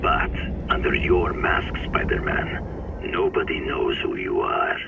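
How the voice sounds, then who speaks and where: An actor playing an intense, seasoned hunter mentor in a video game, speaking formally and deliberately with a commanding manner. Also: male voice, rumbling low, lively intonation, creaky, phone-quality sound, heavy background noise, exaggerated voice. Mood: measured, solemn. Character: intense brooding seasoned male hunter mentoring a masked hero. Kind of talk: dramatic video game voice acting.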